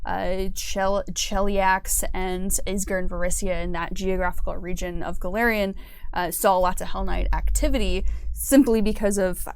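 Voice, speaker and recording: A woman's voice: mid-range voice, fairly even intonation, moderate pace, darkish tone, clear, resonant tone, dry recording. A woman explaining game lore informally and articulately, as an expert guest in a conversation.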